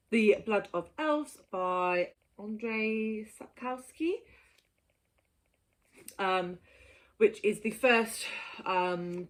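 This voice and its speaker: A woman's voice: medium pitch, low-quality recording. A woman in her thirties chatting casually to camera as a book vlogger, in a giggly, self-mocking manner.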